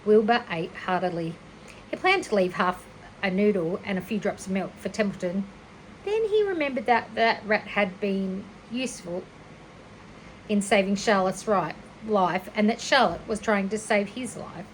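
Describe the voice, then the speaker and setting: A woman reading a children's story aloud like a teacher, expressive and a little unpolished, with small stumbles and self-corrections. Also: mid-range voice, moderate pace, slight background noise, rough recording. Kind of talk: children's storybook read-aloud, narration with character voices.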